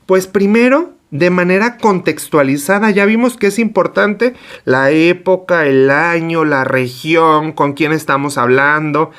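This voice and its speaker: A man's voice: a little high, measured pace, hardly any pauses, fairly bright tone, clear, resonant tone. A man giving a lecture to teachers. He asks himself questions and then answers them, and he corrects himself as he goes. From Mexico. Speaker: teacher trainer. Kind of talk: explanatory online lecture.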